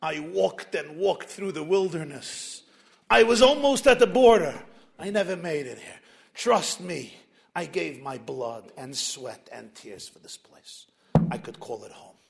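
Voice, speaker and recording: A man voicing a weary, soft-spoken old man. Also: fairly high pitch, lively intonation, creaky, pitched below the performer's own voice. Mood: wistful. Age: older. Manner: slow, soft and plaintive. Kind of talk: storytelling.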